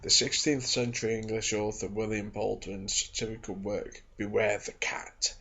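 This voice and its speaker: A soft-spoken man with a husky voice reads from a non-fiction book in a measured, careful ASMR style. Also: lowish voice, fairly even intonation, muffled recording, a little hiss or hum. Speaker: ASMR creator reading aloud.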